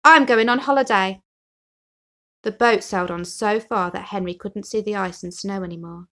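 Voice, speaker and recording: A woman narrates a children's storybook. Her voice sits at a medium pitch, and she reads expressively, telling the tale for young listeners. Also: steady tone, brisk. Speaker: story reader.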